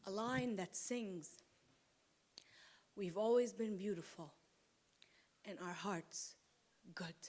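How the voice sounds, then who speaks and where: A woman from Lebanon giving a slow, deliberate, impassioned award acceptance speech at a podium microphone on an auditorium stage. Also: medium pitch. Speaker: award-winning poet.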